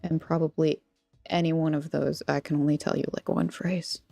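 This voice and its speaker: Low, creaky-voiced American woman, mellow. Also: flat delivery, moderate pace, dry recording. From Texas, United States. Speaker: craft livestream host. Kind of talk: casual chat with viewers while crafting. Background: background music.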